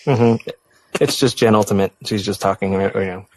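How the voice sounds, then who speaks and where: A man's voice: fairly low pitch, moderate pace, background noise. A man co-hosting a casual podcast, joking around with the others. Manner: jokey, playful. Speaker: podcast co-host. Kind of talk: casual chat.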